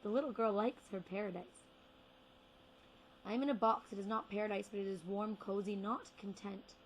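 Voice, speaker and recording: A young woman performing spoken word poetry with deliberate, rhythmic phrasing and repeated words. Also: mid-range voice, fairly even intonation, a little hiss or hum, poor audio. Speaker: slam poet.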